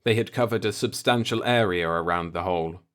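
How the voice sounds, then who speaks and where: A man's voice: low-pitched, brisk, clear, resonant tone. A man reading scripted fiction as an audiobook narrator.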